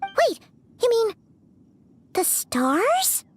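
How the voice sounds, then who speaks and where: Small childlike fairy, game character, extremely high voice, unhurried pace, chatty, petulant, music underneath. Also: female voice, full character voice. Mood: puzzled. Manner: refers to herself in third person, excitable. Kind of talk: video game voice acting.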